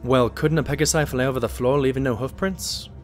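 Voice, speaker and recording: An actor playing a mild, earnest pony doctor who narrates and speaks politely as a detective's companion in an audio drama. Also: male voice, mid-range voice, a little fast, echoey room, far from the mic, faint music behind, close to an everyday voice. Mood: puzzled, polite. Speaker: narrator and sidekick.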